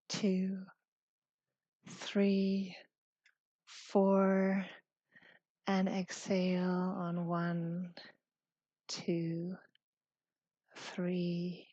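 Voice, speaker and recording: A woman leading a guided yoga class as the teacher, calm and gentle, speaking in short instructions with long pauses. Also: mid-range voice, no room sound, muffled recording.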